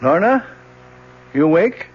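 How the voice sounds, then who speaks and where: A man's voice: fairly high pitch, big pitch swings, measured pace. An actor playing a protective, worried middle-aged professor and father in a 1970s radio drama. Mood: worried, reproving. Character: protective, worried professor father coaxing his grown daughter. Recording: dull old radio broadcast with hum.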